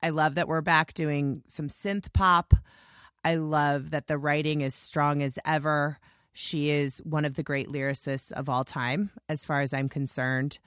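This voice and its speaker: Hoarse, scratchy-voiced woman podcast host. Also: deep voice, level tone, measured pace, fairly bright tone, no room sound, clean studio sound. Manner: gushing, rambling with restarts. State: hoarse, lost voice. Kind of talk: casual podcast monologue.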